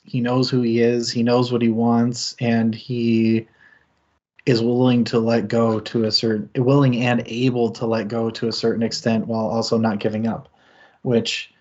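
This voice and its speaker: A man's voice: medium pitch, darkish tone, lo-fi sound. A man hosting a casual book discussion on a stream, thinking aloud in long, rambling sentences and laughing often. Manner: thoughtful, rambling, with frequent laughs. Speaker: book club stream host.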